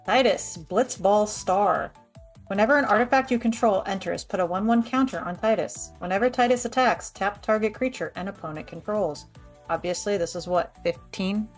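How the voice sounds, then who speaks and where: Female co-host at home, mid-pitched, offhand and blunt, swears casually while reading cards, faint music. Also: normal speed. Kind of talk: casual chat while reading card text aloud.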